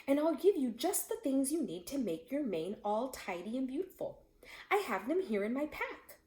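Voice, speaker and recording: Woman as an earnest storybook boy, lifted pitch. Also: sing-song, normal speed, dark tone. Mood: pleading. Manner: earnest, polite. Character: polite, quick-thinking little boy, pleading and helpful. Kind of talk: storybook character voice. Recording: echoey room.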